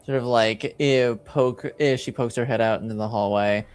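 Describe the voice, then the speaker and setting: A man acting as game master, improvising narration casually and haltingly as he thinks aloud. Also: medium pitch, fairly even intonation, clear, resonant tone.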